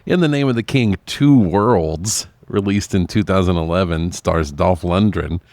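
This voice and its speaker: A man's voice: low voice, measured pace, darkish tone, no echo. A man co-hosting a podcast, talking casually and conversationally about films. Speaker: podcast co-host. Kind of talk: casual film review chat.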